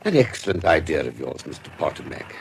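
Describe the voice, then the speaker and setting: An actor with a British accent playing an urbane, shrewd medico-legal investigator in a radio drama, speaking with courteous, measured formality. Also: male voice, very deep voice, big pitch swings, creaky, a little hiss or hum, heavily acted. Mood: genial, probing. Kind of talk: period radio drama acting.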